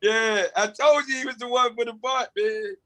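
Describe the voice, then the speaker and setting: A man's voice: very high-pitched, fairly even intonation, normal speed, bright, forceful tone. A man chatting casually as a participant in an online table read. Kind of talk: casual chat. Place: video call.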